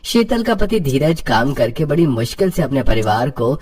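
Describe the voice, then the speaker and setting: A man's voice: on the high side, big pitch swings, normal speed, a little mellow. A man narrating a scripted cartoon story as the storyteller.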